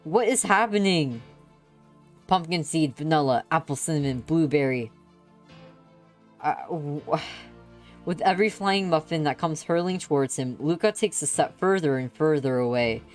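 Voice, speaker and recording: Lower-voiced woman narrating over music. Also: normal speed, a little mellow. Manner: dramatic, playful read-aloud delivery. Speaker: streamer reading visual novels aloud. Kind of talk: expressive live story narration. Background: background music.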